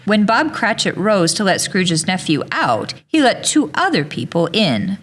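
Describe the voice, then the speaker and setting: A woman's voice: mid-range voice, big pitch swings, a little slow, a bit bright. A woman narrating an audio drama in a scripted storybook style.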